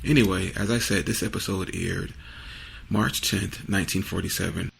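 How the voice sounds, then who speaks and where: A man introducing a podcast episode in a casual, low-key, conversational way. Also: fairly even intonation, normal speed, creaky, fairly bright tone, husky. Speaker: podcast host.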